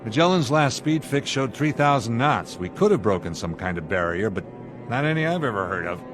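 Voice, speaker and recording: A man acting in a radio drama as a steady airline captain with an authoritative, clipped manner. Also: mid-range voice, brisk, close to an everyday voice. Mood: commanding. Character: steady, commanding male airline captain of a jet in trouble. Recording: dramatic music behind the voice.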